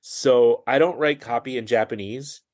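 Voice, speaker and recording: Chatty man, mid-pitched, talking in quick bursts. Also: a little mellow. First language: English. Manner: chatty, with frequent fillers and restarts, rushing through phrases. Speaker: podcast guest, copywriter. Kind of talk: casual conversational interview.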